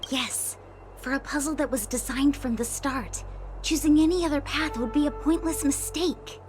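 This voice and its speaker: A woman's voice: high voice, normal speed, close to an everyday voice. A woman voicing a carefree young slacker diviner in a game, philosophizing in a casual, easygoing way. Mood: carefree, earnest. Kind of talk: scripted game voice acting. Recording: clear background music under the voice.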